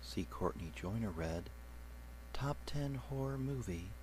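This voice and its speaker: A man reading a short, slow and measured scripted introduction. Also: on the low side, expressive, darkish tone, slight background noise, rough recording. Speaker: video narrator.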